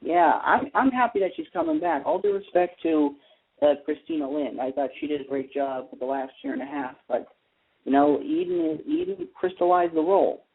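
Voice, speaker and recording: A man's voice: fairly high pitch, big pitch swings, moderate pace, creaky, breathy edge. A man hosting a casual radio talk show about TV, chatty and opinionated as he explains his views. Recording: tinny phone-like internet radio line.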